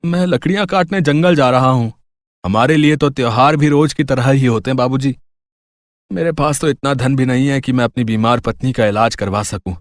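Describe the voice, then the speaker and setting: A man's voice: mid-range voice, normal speed, no echo, clean studio sound, lightly acted. A man voicing a poor, earnest woodcutter in a Hindi moral story, speaking with wide-eyed expression. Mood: weary, resigned. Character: poor, earnest, kindly young woodcutter husband. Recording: music behind the voice.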